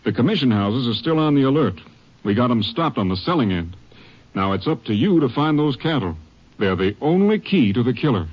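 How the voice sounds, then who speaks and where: A man acting a practical Texas Ranger captain in a 1950s radio drama, with folksy, deliberate authority. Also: medium pitch, big pitch swings, slight background noise, natural voice. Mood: thoughtful. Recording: muffled old radio recording.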